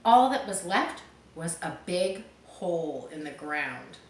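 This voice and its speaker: A woman's voice: lowish voice, sing-song. A woman reading a story aloud to listeners, stopping to explain words and details in an explanatory way. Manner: explanatory, checking understanding, pausing to clarify words. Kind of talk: read-aloud storytelling with teaching asides. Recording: echoey room with faint background noise.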